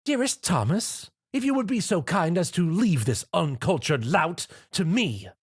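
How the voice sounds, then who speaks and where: A man voicing a dramatic, refined young woman who is a fashionista, in a high put-on voice. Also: big pitch swings, a little slow, breathy edge, full character voice. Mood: haughty.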